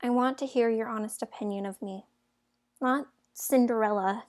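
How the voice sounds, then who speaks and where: Young fairytale heroine female actor, high voice, outspoken and emphatic, amateur radio-play delivery. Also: steady tone, moderate pace, darkish tone, lightly acted. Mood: softer, sincere. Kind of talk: amateur audio drama acting.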